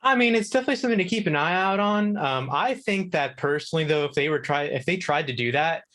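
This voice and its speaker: A man's voice: a little high, lively intonation, quick pace, a bit bright. A man chatting casually as a guest on a gaming talk segment, hedging and thinking aloud as he answers. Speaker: video game contributor and guest. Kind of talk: casual chat.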